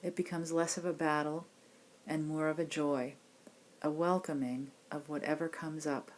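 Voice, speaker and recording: A woman meditation teacher giving guided body scan instructions in a gentle, unhurried, reassuring manner. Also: deep voice, fairly even intonation, a little hiss or hum, poor audio. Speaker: meditation teacher leading a class program.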